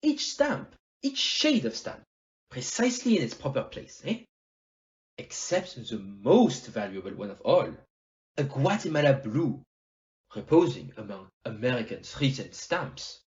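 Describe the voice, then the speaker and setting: An amateur performer's take on a famous fictional detective for an audio play, shrewd and courtly. The delivery is theatrical and extremely animated, dotted with French phrases and questioning tags. The sound is slightly dull and lo-fi. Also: medium pitch, a little slow. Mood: explaining, self-assured.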